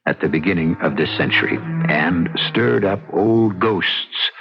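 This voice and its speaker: Low, portentous male radio host. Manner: ominous, deliberate, portentous. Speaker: host of radio mystery drama series. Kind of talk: theatrical scripted radio introduction. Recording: dull old radio recording with loud music.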